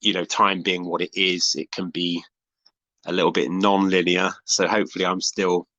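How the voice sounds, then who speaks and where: A man's voice: sits low, little pitch movement, moderate pace, bright, forceful tone, dry recording. A man who makes hip hop, answering interview questions on a video call in a thoughtful, hesitant way. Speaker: guest, hip hop artist.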